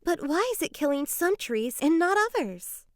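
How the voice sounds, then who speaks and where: Animated woman reading a children's story. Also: very high voice, normal speed, no echo, studio quality. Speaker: children's book reader. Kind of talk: dramatized read-aloud of a children's educational story.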